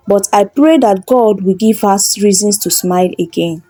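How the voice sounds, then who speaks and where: A woman's voice: mid-range voice, normal speed, mellow, soft background music, lightly acted. A woman voicing a stern but compassionate, reproachful husband in a folktale. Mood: sad. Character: stern, compassionate husband, sorrowful about an orphan.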